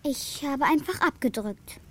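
A woman's voice: high-pitched, lively intonation, a little slow, fairly bright tone. A young woman playing a plucky, plain-spoken girl of the steppe in a German children's radio play, matter-of-fact in manner.

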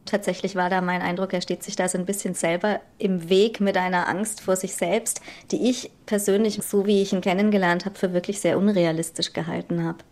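A woman speaks thoughtfully and candidly, weighing her words as she explains. It is a mid-pitched, natural voice in a documentary interview. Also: little pitch movement, brisk, few pauses, darkish tone. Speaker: interviewee, mother.

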